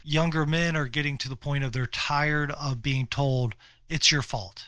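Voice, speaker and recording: A man's voice: fairly high pitch, steady tone, measured pace, sharp tone, no room sound. A middle-aged American man co-hosting a podcast, chatting informally and emphatically about his views. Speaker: podcast co-host. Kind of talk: casual opinionated chat.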